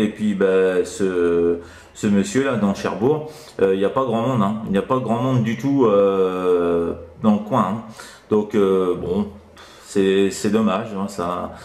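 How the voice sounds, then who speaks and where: Guy on cb radio, low voice, rambles a bit, roomy echo. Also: a little mellow. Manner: hesitant, rambling, with repeated tag words. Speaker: amateur CB radio operator. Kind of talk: casual radio chat.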